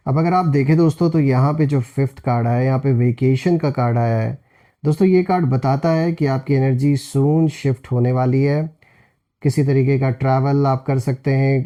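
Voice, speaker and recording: A man's voice: medium pitch, dark tone. A man giving a tarot reading as host, explaining things and addressing viewers directly as friends. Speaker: tarot card reader and host.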